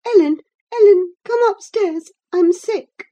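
Shrill, distraught teenage girl. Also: slow, warm tone, muffled recording. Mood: panicked. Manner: breathless, sobbing, imploring. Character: distraught, pleading teenage girl, spoiled and passionate. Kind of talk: audiobook character acting.

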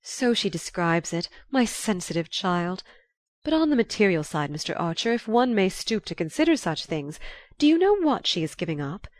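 A woman voicing an effusive, theatrical older marchioness in an audiobook, with a sighing, drawn-out, confiding delivery. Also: mid-range voice, lively intonation, no echo, close to an everyday voice. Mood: rapturous, dramatic.

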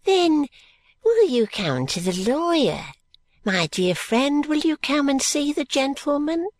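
Spiteful old moneylender, played by a woman: breathless, fawning, drawn-out and swooping, close dry sound. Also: medium pitch, sing-song, vocal fry, dry recording, heavily acted. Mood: wheedling. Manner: fawning endearments breaking into angry curses.

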